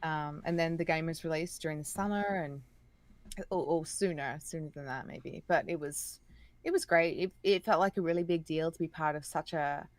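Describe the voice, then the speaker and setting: A woman with an Australian accent, a guest chatting enthusiastically in an interview and sometimes pausing to search for words. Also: low-pitched. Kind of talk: casual interview chat.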